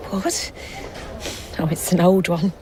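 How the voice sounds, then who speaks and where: A woman's voice: lowish voice, flat delivery, slow pace, a little mellow, a faint crowd, slight background noise. An actress playing a polite, slightly guarded young serving woman, deferential in an audio drama. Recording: faint outdoor urban ambience.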